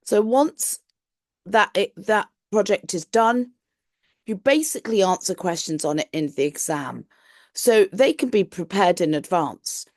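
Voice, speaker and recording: A woman tutor gives an online introduction to a course, her voice croaky as she recovers from flu, explaining things in a friendly, reassuring way. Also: mid-range voice, lively intonation, measured pace, darkish tone, no room sound, clean studio sound. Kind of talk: online lesson talk.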